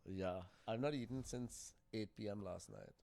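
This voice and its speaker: A man's voice: on the low side, moderate pace, creaky, warm tone. A young man co-hosting a casual podcast chat, easygoing and teasing as he prods his co-host to get on with things. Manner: easygoing, teasing, nudges the other host along.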